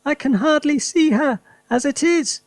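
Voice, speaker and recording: A man's voice: high-pitched, animated, unhurried, creaky, no room sound, pitched above the performer's own voice, exaggerated voice. A man voicing an anxious, wailing middle-aged housewife in a storybook.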